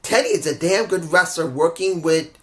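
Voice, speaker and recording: A man's voice: fairly high pitch, moderate pace. Stuffy man with a head cold, rambling. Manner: rambling, keeps correcting himself and apologizing for mix-ups; grumbling, frustrated asides. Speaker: wrestling show reviewer. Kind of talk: casual solo review monologue.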